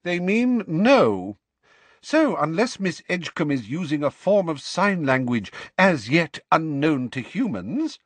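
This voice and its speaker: A man voicing a stern, cold older female professor, speaking crisply. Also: high-pitched, moderate pace, fairly bright tone, dry recording, pitched above the performer's own voice. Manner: crisp, cutting.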